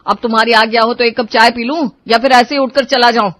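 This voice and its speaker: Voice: medium pitch, fairly even intonation, quick pace, a bit bright, dry recording, natural voice. A performer voicing a sulky hot-tempered young husband. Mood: grumbling. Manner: sulky, irritable.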